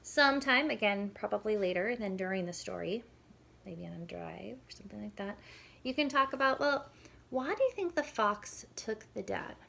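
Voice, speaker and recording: A woman's voice: medium pitch, expressive, normal speed, vocal fry, sharp tone, a little hiss or hum. A woman speaking as a parenting educator, giving an informal, conversational lesson and explaining as she goes. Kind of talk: informal instructional talk with demonstrated questions.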